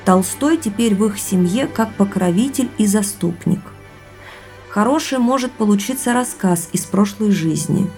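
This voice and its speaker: A woman's voice: mid-range voice, moderate pace, a little mellow, lightly acted. An actress playing a thoughtful young woman in a naturalistic audio drama, speaking in a reflective, earnest way. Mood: absorbed reading aloud. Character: thoughtful young woman sharing family mystery with boyfriend. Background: music underneath.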